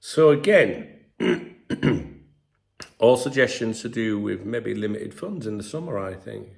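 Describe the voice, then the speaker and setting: Congested English man with gravelly voice. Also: fairly low pitch, lively intonation, slow pace. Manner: chatty, frequent throat clearing, filler-heavy. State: congested with a sore throat. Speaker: football channel host. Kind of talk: casual commentary to camera.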